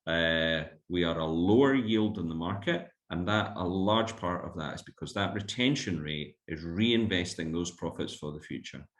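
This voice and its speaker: Low-voiced guy explaining fund stuff, lots of ums. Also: lively intonation, normal speed, few pauses. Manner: explanatory, with fillers and restarts. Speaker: fund manager presenting a webinar. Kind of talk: explaining investment concepts to investors.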